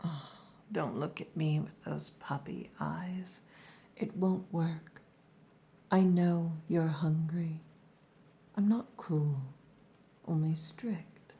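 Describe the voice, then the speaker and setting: A woman acting a stern, possessive orc huntress in an ASMR roleplay, breathy and commanding, often close to a whisper. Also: deep voice, slow pace, pauses often, warm tone. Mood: strict, grudging care.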